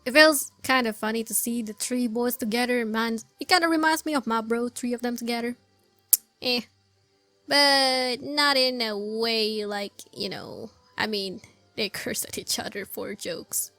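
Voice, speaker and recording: Woman gamer, higher voice, dry close sound, casual halting reactions, game music underneath. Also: steady tone, sharp tone, no echo. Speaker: gamer commenting on a playthrough.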